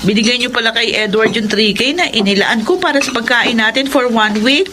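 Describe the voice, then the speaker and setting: A woman's voice: on the high side, a little fast, noisy background, natural voice. An actress playing a grown daughter who complains sarcastically about her brother in a radio drama. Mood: resentful. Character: resentful grown daughter, elder sister.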